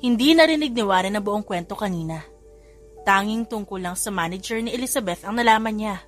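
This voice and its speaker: A woman's voice: medium pitch, lively intonation, fairly quick, soft background music. A woman narrator reading a scripted romance story for an audiobook.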